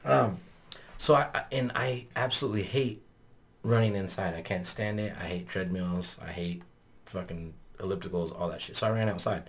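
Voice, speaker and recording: A man hosting a podcast in a small studio, talking casually, swearing often and laughing loudly. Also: low voice, moderate pace. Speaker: podcast host. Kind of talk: casual chat. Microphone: studio mic on boom arm.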